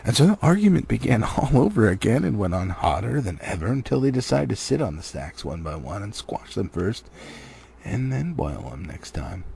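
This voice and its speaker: Gravelly, husky man reading a storybook. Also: lowish voice, sing-song, normal speed, darkish tone. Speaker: audiobook reader. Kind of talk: expressive storybook narration.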